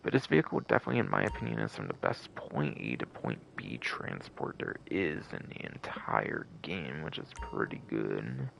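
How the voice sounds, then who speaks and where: A man's voice: rumbling low, sing-song, moderate pace, gravelly, hardly any pauses, fairly bright tone, a little hiss or hum, poor audio. A man giving casual live game stream commentary in a rambling, repetitive way full of filler words, with some casual swearing.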